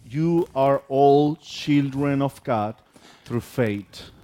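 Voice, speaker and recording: A middle-aged male preacher from Mexico whose first language is Spanish. He speaks at a medium pitch, in short sermon phrases with gaps left for translation. The recording is dry, with little room sound. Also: drawn-out words, warm tone, no room sound. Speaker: pastor, guest preacher.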